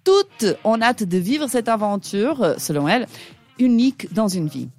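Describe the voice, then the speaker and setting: A woman's voice: a little high, big pitch swings, a little slow, a little mellow, faint music behind. A woman whose first language is not French, giving an ironic, chatty radio chronicle with frequent hesitations. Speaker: radio show columnist.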